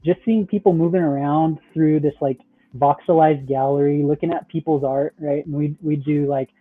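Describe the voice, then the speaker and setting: A man's voice: a little high, steady tone, normal speed. A man talking as a podcast guest in casual conversation, rambling with lots of fillers and tag questions. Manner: rambling, many "like", "you know" and "right?". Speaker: guest, metaverse project founder. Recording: tinny phone-like call audio.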